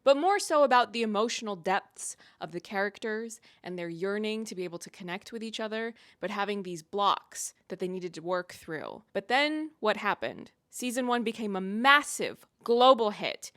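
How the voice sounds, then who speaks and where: A woman's voice: medium pitch, expressive, moderate pace, a bit bright, dry recording. Emphatic woman doing video commentary, dry close sound. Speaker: video essayist and reviewer on her own channel. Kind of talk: conversational video commentary, speculating and explaining.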